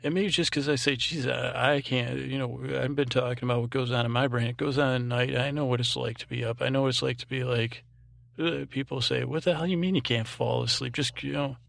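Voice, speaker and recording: A man's voice: medium pitch, level tone, a little fast, creaky, fairly bright tone, dry recording. A man hosting a bedtime podcast, talking in a droning, meandering monologue with hesitant false starts. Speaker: podcast host.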